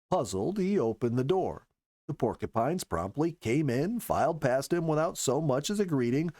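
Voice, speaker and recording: A man's voice: medium pitch, a little mellow, no room sound. A man reading a first-person story aloud as a storyteller, with an earnest, careful storybook delivery. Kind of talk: expressive scripted first-person narration.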